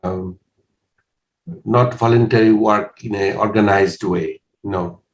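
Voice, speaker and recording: Man in his sixties from Bangladesh; low voice, measured and hesitant delivery, echoey recording. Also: steady tone, lo-fi sound, faint music behind. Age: 60s. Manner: measured, hesitant with frequent uhs. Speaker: interviewee. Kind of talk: casual interview answers. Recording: roomy echo.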